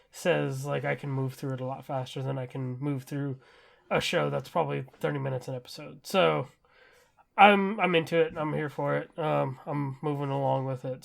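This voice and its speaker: A man's voice: fairly high pitch, gravelly. A man co-hosting a podcast in casual chat, rambling enthusiastically and leaning on "like" as he goes. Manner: rambling, enthusiastic, heavy use of "like". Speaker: podcast co-host.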